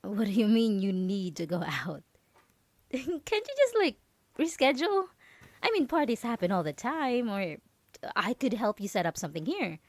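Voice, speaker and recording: A woman playing a possessive yandere roommate in an ASMR roleplay, coaxing and halting, with nervous, feigned innocence and false sweetness. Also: medium pitch, big pitch swings, no echo. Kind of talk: ASMR roleplay audio drama.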